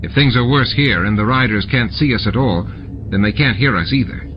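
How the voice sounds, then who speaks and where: A man's voice: medium pitch, a little fast, muffled recording, over music, close to an everyday voice. A man voicing a practical, harried male engineer in a science fiction audiobook, speaking with tension. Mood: explaining. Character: harried, hard-working male starship engineer. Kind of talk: audiobook character dialogue.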